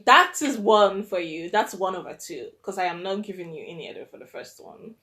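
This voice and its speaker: A woman's voice: mid-range voice, a little fast. A young adult woman hosting a podcast, running a quiz game with playful, teasing banter and frequent laughter. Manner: teasing, animated, laughs often. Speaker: podcast host.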